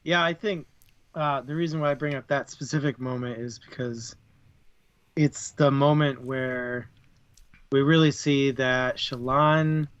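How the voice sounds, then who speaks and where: A man's voice: mid-range voice, fairly even intonation, measured pace, fairly bright tone, clear, resonant tone, dry recording, muffled recording. A man casually discussing a book as a podcast co-host.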